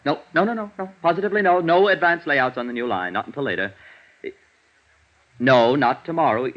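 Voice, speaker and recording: An actor playing a high-strung, fussy male dress designer in a vintage radio drama, theatrical and effusive, repeating himself for emphasis. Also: fairly quick, close to an everyday voice. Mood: exasperated. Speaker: fashion house owner. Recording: dull vintage radio recording.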